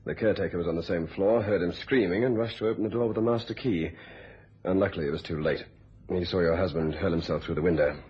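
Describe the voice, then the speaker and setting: Brisk, husky British police inspector. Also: male voice, low-pitched, fairly even intonation. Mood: grave, apologetic. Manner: polite, formal, matter-of-fact. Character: courteous, businesslike male police inspector. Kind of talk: radio thriller acting. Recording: dull lo-fi radio sound.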